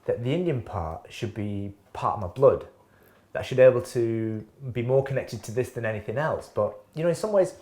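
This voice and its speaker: A man's voice: mid-range voice, lively intonation, fairly quick, a little mellow. A man from England hosting a reflective talk to camera in his kitchen, musing and asking questions, often laughing. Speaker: host. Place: home kitchen.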